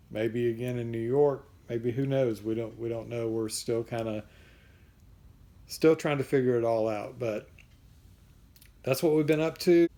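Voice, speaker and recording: Male vlogger, casual unscripted update, warm low-key tone, voice wearing thin. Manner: relaxed, rambling with restarts. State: losing his voice.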